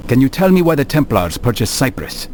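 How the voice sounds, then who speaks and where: An actor playing a calm, determined assassin in a video game, speaking in terse, measured lines. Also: male voice, mid-range voice, natural voice. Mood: calm, purposeful. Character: calm, determined young assassin questioning allies. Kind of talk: scripted game cutscene dialogue.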